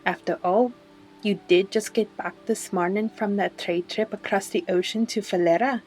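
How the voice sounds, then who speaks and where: A woman playing a calm, helpful tavern bartender in a fantasy audio roleplay, speaking politely and in a measured way. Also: mid-range voice, faint music behind, lightly acted. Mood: gently prodding.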